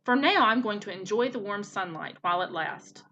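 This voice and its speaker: A woman voicing an easygoing forest frog in a storybook, with folksy talk and croaky exclamations. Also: mid-range voice, expressive, moderate pace, bright, forceful tone, muffled recording, a little hiss or hum.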